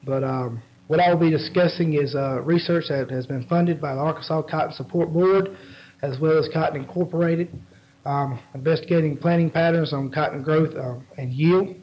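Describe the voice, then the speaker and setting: A man's voice: on the high side, steady tone. A man with a stuffy head cold that makes him sound nasal, giving a research talk with many ums and restarts. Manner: halting, frequent ums and restarts. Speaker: agricultural researcher presenting. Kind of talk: conference talk with slides. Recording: dull lo-fi audio.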